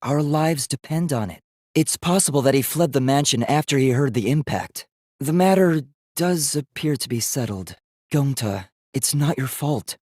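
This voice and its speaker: A man acts out a young male robot student from a video game, earnest and logical. His delivery is formal and precise, as if every statement is being reasoned through, yet the pitch moves with lively variation. The sound is dry, with no room around it. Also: moderate pace, no room sound, natural voice. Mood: earnest, serious. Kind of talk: English video game voice acting.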